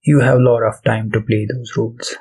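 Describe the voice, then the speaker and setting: A man leading a guided meditation as its host, speaking softly and deliberately in short phrases with pauses between them. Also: mid-range voice, little pitch movement, husky. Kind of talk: guided meditation, soft spoken instruction. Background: background music.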